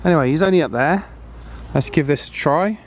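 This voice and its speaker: A man's voice: a little high, brisk, heavy background noise. A man hosting a casual hands-on demonstration, chatting and thinking aloud as he goes. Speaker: host of radio repair channel.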